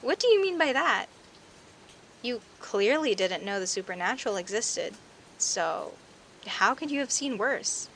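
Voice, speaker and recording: A woman playing a friendly, teasing young werecat neighbor in an audio roleplay, chatting playfully to the listener with hesitant pauses and theatrical emphasis. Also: a little high, lively intonation, fairly bright tone, slight background noise. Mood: relaxed, curious. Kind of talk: audio roleplay, casual one-sided conversation with the listener.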